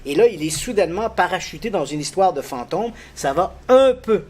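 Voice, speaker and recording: Animated middle-aged man telling a story. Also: brisk. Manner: animated, lively storyteller with frequent pitch jumps. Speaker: guest expert on paranormal cases. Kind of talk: storytelling explanation in a conversation.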